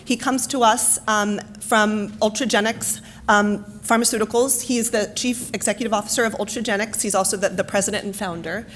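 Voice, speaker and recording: A woman with a hoarse, fading voice, giving friendly opening remarks at a conference with lots of ums. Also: mid-range voice, steady tone, fairly quick, a bit bright, slight background noise. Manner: chatty, many ums, friendly housekeeping tone. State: hoarse, losing her voice. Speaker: foundation science officer introducing the keynote. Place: hotel ballroom.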